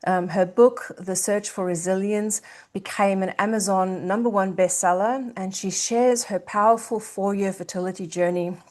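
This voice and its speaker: A woman's voice: medium pitch, fairly even intonation, moderate pace, darkish tone. A woman hosting a podcast, gushing and effusive, with lots of hesitant fillers. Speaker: podcast host. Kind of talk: casual podcast interview.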